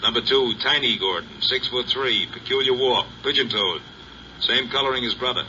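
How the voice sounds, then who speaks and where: A man's voice: mid-range voice, moderate pace, pushed and bright. A man acting a terse police radio dispatcher in an old-time radio drama, reading a bulletin in a clipped, formal way. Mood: official. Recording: dull, noisy old radio recording.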